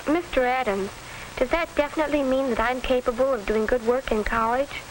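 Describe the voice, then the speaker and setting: A woman's voice: high-pitched, a little fast, lightly acted. An American actress playing an earnest, polite teenage girl in a 1940s instructional film, speaking in formal, careful phrases. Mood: earnest, unsure. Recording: crackly 1940s film soundtrack.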